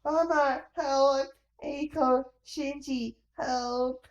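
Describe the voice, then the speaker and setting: A woman puts on a young boy for a storybook reading. He is brash and playful with a big heart, pitched high and talking in a folksy, unhurried way. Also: steady tone, lo-fi sound. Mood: frightened, pleading.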